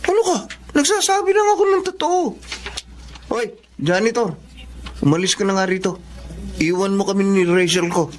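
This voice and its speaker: An arrogant young male student bully in a dramatic serial. The voice sits high and sneers, dragging out words in mockery and taunting like someone who owns the place. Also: lively intonation. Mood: contemptuous. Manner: taunting, drawn-out mocking words. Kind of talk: Filipino radio-style drama acting.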